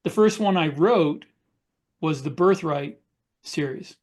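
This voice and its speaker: A man's voice: on the high side, slow pace, a little mellow. A man who is an author, explaining things casually as a podcast panelist.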